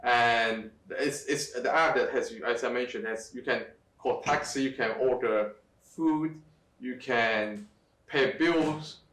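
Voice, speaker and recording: Hombre en sala con eco, algo lejos del micrófono. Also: male voice, mid-range voice, fairly bright tone. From Taiwan. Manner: halting, many restarts and fillers. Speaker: interview guest. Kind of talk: conversational interview. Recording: echoey room, distant from mic.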